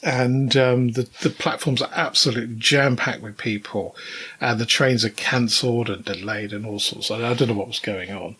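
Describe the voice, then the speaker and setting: A mature British man with a slightly husky voice chats as a podcast co-host. He tells stories at a measured, conversational pace of thought, dropping in wry asides. His pitch is average for a man. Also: steady tone, pushed and bright. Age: middle-aged or older. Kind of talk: casual podcast chat with anecdotes.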